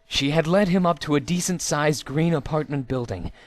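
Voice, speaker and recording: A man's voice: on the high side, normal speed. A man reading a story aloud as scripted narration, in a theatrical manner. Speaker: amateur story reader.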